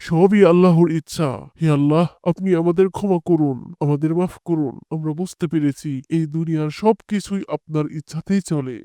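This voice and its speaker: An actor voicing a stern but devout king with a declamatory manner in an animated moral story. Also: fairly high pitch, a little fast, no room sound, lightly acted. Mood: stern, repentant.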